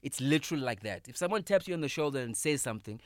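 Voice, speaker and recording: A man in his thirties, a comedian guest in a podcast studio, talking casually, emphatic and animated, swearing freely. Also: mid-range voice, rapid-fire, no room sound. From South Africa. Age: 30s. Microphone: studio microphone.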